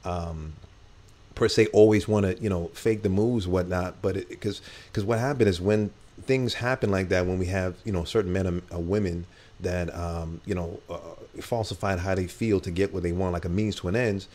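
A man's voice: low-pitched, steady tone, moderate pace, darkish tone. A man hosting a casual talk show, rambling with many fillers as he asks questions. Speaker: host.

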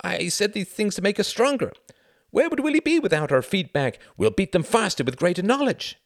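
A man's voice: high voice, very animated, normal speed, no room sound, clean studio sound, voice pushed up. A man voicing an eager, appeasing wartime German pilot in an audiobook, protesting and placating his comrade. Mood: pleading. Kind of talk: acted audiobook dialogue.

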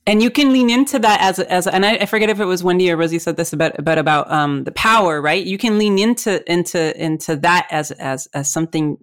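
A woman's voice: lowish voice, a little fast, hardly any pauses, dry recording, studio quality. Earnest Mexican-born woman podcast guest. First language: Spanish. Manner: earnest, mixes Spanish phrases, repeats words. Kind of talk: casual podcast conversation.

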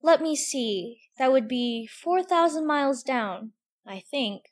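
A woman's voice: sits high, animated, slow pace. A woman playing a curious, chattering young girl in a full-cast audiobook, talking to herself in a prim, wondering way. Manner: prim, wondering self-talk.

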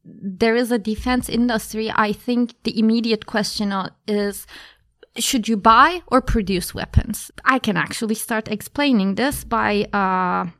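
A woman's voice: fairly high pitch, measured pace, fairly bright tone, dry recording. A young woman from Turkey, a graduate student explaining her topic as a podcast guest, thoughtful with frequent fillers. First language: Turkish. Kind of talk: explanatory interview answers.

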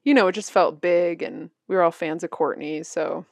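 30代の女性が、ポッドキャストでよく笑いながら気楽に雑談している。. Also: female voice, lowish voice, normal speed, creaky, dry recording, clean studio sound. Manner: laughs often, relaxed and chatty. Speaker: podcast co-host, musician. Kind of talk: casual chat.

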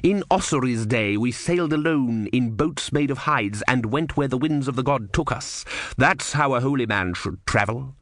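A coldly commanding religious lord, put on by a male reader, stern and pious. He speaks slowly and solemnly, measuring each phrase, in a dry close recording. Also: medium pitch, animated, a bit bright, dry recording, voice pushed up, natural voice. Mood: pious, measured.

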